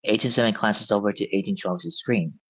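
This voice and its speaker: A man's voice: lowish voice, brisk. A man reading stage directions aloud as the narrator of a script table read. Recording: dull, band-limited audio.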